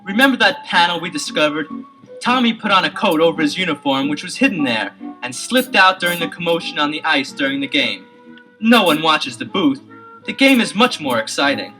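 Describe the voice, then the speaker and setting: An actor playing an earnest, clever teenage boy detective in a scripted audio adventure, explaining things in a deliberate, dramatic way. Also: a little high, animated, pushed and bright, rough recording. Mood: confident, probing. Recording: 1970s LP radio-play with music behind.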